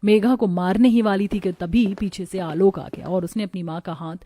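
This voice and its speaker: A woman's voice: mid-range voice, fairly even intonation, moderate pace, mellow, no room sound. A woman narrating a scripted cartoon moral story.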